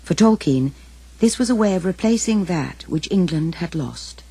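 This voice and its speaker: A woman's voice: fairly low pitch, lively intonation, normal speed, mellow, breathy edge, no echo. A woman reading scripted documentary narration and quoted passages as the film's narrator.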